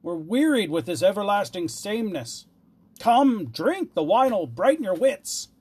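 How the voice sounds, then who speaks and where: A man voicing a cruel, boisterous tyrant king for a storybook, loud, blustering and imperious. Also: high voice, very animated, a little slow, breathy edge, voice pushed up, heavily acted. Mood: jovial, domineering.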